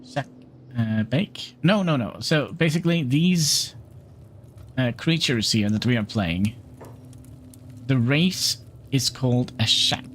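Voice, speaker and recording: Slow-talking man streaming a game. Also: medium pitch, expressive, a bit bright, a little hiss or hum. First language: Norwegian. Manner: unhurried, reads game dialogue aloud, chats with viewers. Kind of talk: livestream gameplay commentary.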